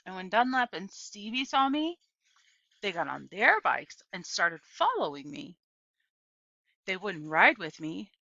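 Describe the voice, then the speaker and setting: A woman reading a children's story aloud as expressive narration. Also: medium pitch, no room sound, muffled recording. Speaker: audiobook reader.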